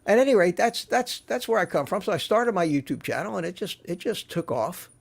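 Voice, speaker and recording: A man in his seventies, a guest in a casual interview, telling stories in an animated, chatty way with frequent restarts. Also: high voice, a little fast, vocal fry. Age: 70s.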